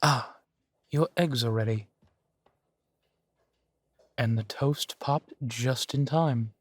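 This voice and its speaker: A man playing a centuries-old vampire duke in an intimate roleplay audio, genteel, amused and doting, talking theatrically and savoring his pauses. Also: mid-range voice, husky, no room sound. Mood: pleased, doting. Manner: unhurried, theatrical, savoring pauses with soft chuckles.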